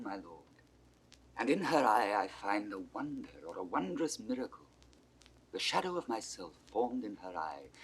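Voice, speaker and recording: An actor playing a lovestruck young prince in a 1960s Shakespeare audio drama, speaking earnest, lyrical verse. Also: male voice, deep voice, a bit bright, husky, full character voice, poor audio.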